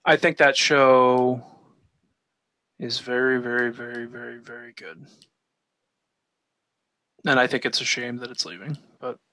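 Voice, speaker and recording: A man's voice: mid-range voice, fairly even intonation, measured pace, long pauses, pushed and bright, no room sound. A man hosting a casual podcast chat, teasing and joking with his co-hosts. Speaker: podcast host.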